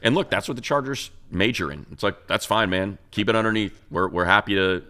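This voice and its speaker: A man with a deep, low voice talks sports as a show co-host. His delivery is casual and conversational, working through analysis and repeating words to stress a point. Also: brisk, bright, forceful tone.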